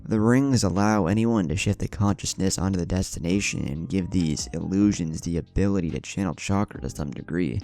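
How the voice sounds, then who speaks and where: A man's voice: low voice, a little fast, creaky, hardly any pauses, a little mellow. A man voicing a cocky, cunning, taunting young ninja in a narrated fan story.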